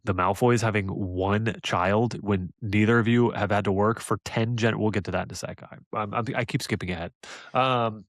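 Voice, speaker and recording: Male podcast co-host, lowish voice, dry close mic, rambling with frequent laughs. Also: steady tone, normal speed, no room sound. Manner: rambling, hesitant, laughs often. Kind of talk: casual chat.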